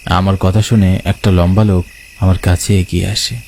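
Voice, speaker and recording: A man's voice: sits low, little pitch movement, moderate pace, darkish tone, a little hiss or hum. A man telling a horror story in dramatic first-person narration.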